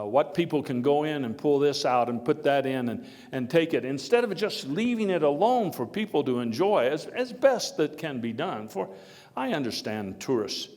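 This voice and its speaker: An actor playing a jovial, hearty former American president, giving a folksy speech in a halting, deliberate way. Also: male voice, medium pitch, a little mellow, lightly acted. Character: Theodore Roosevelt, jovial, hearty former president telling anecdotes. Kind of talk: live historical dramatization speech to an audience. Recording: echoey hall with long reverb.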